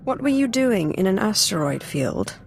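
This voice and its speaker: A woman playing a commanding Sith lord in a video game, poised and measured, teasing with dry flirtation. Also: medium pitch, big pitch swings, gravelly, faint music behind. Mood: curious. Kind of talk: video game character acting.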